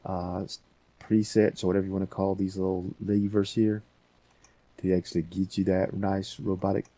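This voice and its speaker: A man hosting a casual software tutorial, talking informally and thinking aloud as he goes. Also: low-pitched, level tone, normal speed, no echo. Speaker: audio editing tutorial host.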